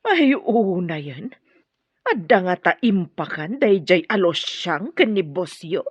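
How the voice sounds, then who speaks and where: An actress in an Ilocano radio drama playing a pushy, scheming mother, with a husky voice. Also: fairly low pitch, sing-song, slow pace, no echo, exaggerated voice. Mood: insistent. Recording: dull lo-fi audio.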